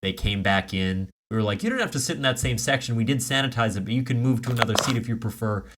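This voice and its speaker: A man co-hosting a podcast, telling stories in casual comedic chat with animated, self-mocking asides. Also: medium pitch, fast talker, very noisy. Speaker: podcast co-host.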